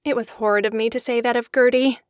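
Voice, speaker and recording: An elegant young society lady, played by a woman for an audiobook drama, with a slightly high, expressive voice. She is witty and coquettish, with a streak of discontent, captured close and dry. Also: fast, dry recording, lightly acted. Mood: rueful, confiding.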